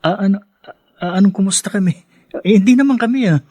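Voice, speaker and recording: A man's voice: high-pitched, fast talker, dark tone, no echo, clean studio sound. A man with a Filipino accent playing a humble, bashful young working man in a radio drama, earnest and hesitant. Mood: flustered, shy.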